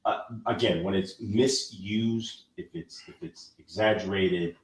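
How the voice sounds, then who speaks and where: A man's voice: lowish voice, measured pace, roomy sound, distant mic, poor audio. A man hosting a podcast, lecturing in an emphatic, preachy way during a casual discussion. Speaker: podcast host.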